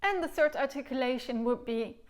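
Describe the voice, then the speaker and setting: A woman in her thirties with a Dutch accent, teaching recorder technique from her sofa and sounding out the syllables slowly as she demonstrates. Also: sits high. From Netherlands. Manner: demonstrates syllables by singing and speaking them slowly. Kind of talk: instructional video lesson. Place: living room, seated on a sofa.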